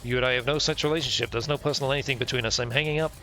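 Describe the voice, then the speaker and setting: A man's voice: mid-range voice, steady tone, very fast, sharp tone. Man reading as an irate, foul-mouthed police captain. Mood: angry, dismissive. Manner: curt, hostile, swearing. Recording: music playing behind the voice.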